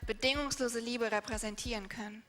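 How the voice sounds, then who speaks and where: A woman's voice: fairly high pitch, steady tone, brisk, fairly bright tone. A woman interpreting live from a stage, passing on each phrase in turn. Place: large event hall. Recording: hall reverb, music behind, live PA. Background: music.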